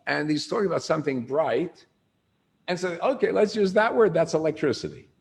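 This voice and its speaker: Older male lecturer, gravelly, conversational teacher who quizzes the room. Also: fairly high pitch, brisk. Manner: animated storyteller, asks the room questions. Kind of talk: informal lecture to a group.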